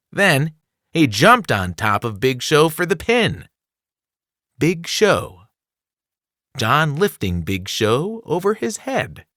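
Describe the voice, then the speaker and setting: A man narrating a scripted children's non-fiction book in an expressive, clearly enunciated storytelling delivery. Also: a little high, no room sound, clean studio sound. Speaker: audiobook narrator.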